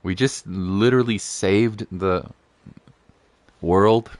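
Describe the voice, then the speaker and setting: A man's voice: on the low side, slow, a little mellow, no echo. A man giving casual live game commentary as a streamer, with a slangy, joking, laid-back way of talking.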